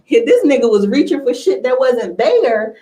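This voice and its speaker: Female talk host, slightly high voice, playful and unfiltered, slangy, loose conversational delivery. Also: sing-song, normal speed, dark tone. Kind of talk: casual, bawdy radio chat.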